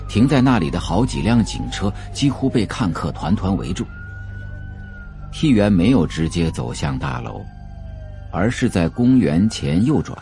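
A man's voice: low-pitched, creaky, warm tone. A man reading scripted narration for an audio drama, telling the story as a novel's narrator. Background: faint music.

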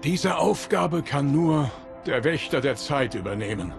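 Loyal time guardian, very slow delivery, creaky voice, solemn, formal, game cutscene. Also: male voice, a little high, lively intonation. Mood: solemn, measured. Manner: formal, deliberate, grave. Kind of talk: dubbed video game dialogue. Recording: music behind the voice.

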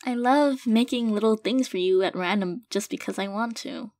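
A woman's voice: medium pitch, dry recording, lightly acted. A woman playing an affectionate, hesitant girlfriend in an intimate audio roleplay, speaking sincerely and tenderly to her partner. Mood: loving, sincere. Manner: soft-hearted, hesitant, halting, gently sincere. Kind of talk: intimate audio roleplay, casual monologue to listener.

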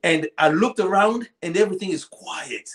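A man's voice: sits high, moderate pace, creaky, noisy background. A man in his thirties with a Central African accent, telling a personal story in casual chat, repeating phrases and acting out dialogue. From Congo. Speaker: podcast guest, poet. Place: small indoor room at home, video call.

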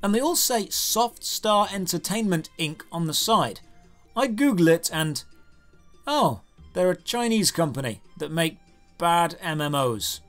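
A man's voice: fairly high pitch, very animated, measured pace, fairly bright tone, soft background music. A man narrating a scripted comedic game review with a sarcastic, exasperated manner.

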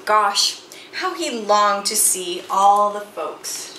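A woman reads a children's story in a bright, very lively voice. Her phrasing is theatrical and emphatic, taken at an unhurried pace. The room adds noticeable echo. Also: medium pitch. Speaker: picture book reader. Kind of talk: expressive children's read-aloud storytelling. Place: small indoor room with bookshelves and chalkboard. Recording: roomy sound with faint background noise.

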